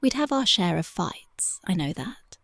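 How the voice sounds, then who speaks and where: A voice actress playing a young punk girlfriend, speaking softly and whispering in a dreamy, intimate, affectionate ASMR roleplay close to the mic. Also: on the low side, very animated, measured pace, gravelly, exaggerated voice. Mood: tender dreamy. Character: young punk woman, tender devoted girlfriend.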